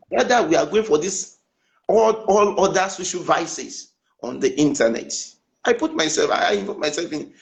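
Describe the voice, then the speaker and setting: A Nigerian man with a Nigerian accent argues his point in a panel debate. His voice sits high and swings widely in pitch. He is insistent and keeps talking over the host. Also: vocal fry, rough recording. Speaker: politician guest.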